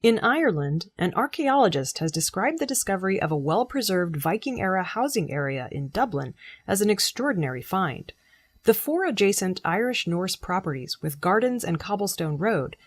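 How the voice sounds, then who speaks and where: A woman reading scripted news as a presenter, in a measured, formal delivery. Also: on the low side, sing-song. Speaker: news reader.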